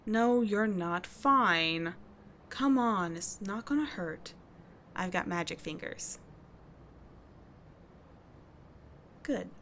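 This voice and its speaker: A woman's voice: mid-range voice, expressive, takes it slowly, a bit bright. Woman doing a tough delinquent schoolgirl for asmr roleplay, blunt slangy but caring n soothing, bit of background noise. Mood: brisk, teasing. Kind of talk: ASMR roleplay audio drama.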